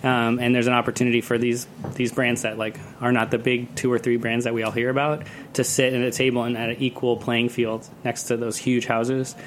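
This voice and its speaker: A man's voice: mid-range voice, flat delivery, brisk, few pauses, slight background noise. A man speaking as an interview guest, casually explaining his work in a chatty way with plenty of "like". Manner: chatty, uses "like" often. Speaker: guest, cocktail festival organizer. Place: radio studio.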